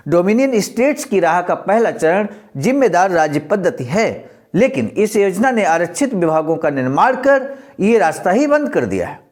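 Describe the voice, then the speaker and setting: A man's voice: high-pitched, darkish tone. A man presenting a historical political speech, reading it aloud in a formal, explanatory and oratorical manner.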